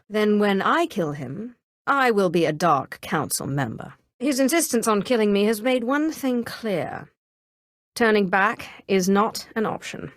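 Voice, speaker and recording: Haughty, animated Sith sorceress. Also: female voice, mid-range voice, dry recording, studio quality. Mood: dry, menacing, then stern, impatient. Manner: imperious, commanding, coolly menacing. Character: haughty, ruthless female Sith sorceress rising to power. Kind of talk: scripted video game dialogue.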